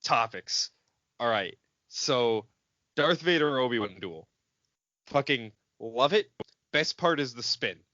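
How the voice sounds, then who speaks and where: A man co-hosting a podcast, chatting casually about films in an excitable, expressive, sweary way. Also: medium pitch, slow pace, pauses often, no room sound, dull audio. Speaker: podcast co-host. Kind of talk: casual film chat among friends.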